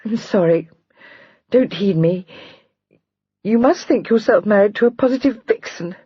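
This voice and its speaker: A narrator voicing a warm-hearted young wife who turns pettish and easily moved to tears. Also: female voice, mid-range voice, wildly swooping pitch, normal speed, husky, no echo, highs cut off, pitched above the performer's own voice, exaggerated voice. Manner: pettish, then tearful and gushing.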